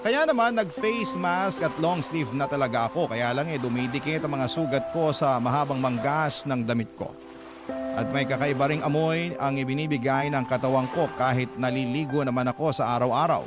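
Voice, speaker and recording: A man narrating a troubled letter sender's first-person story in a measured, earnest way, with a Filipino accent. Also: medium pitch, lightly acted. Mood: somber. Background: loud music.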